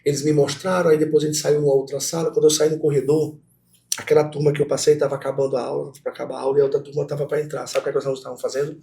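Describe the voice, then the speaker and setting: Fast-talking Brazilian professor, mellow-voiced man. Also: fairly high pitch, vocal fry. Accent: Brazilian Portuguese. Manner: animated, talks to students directly. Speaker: guest professor. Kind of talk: conversational interview storytelling.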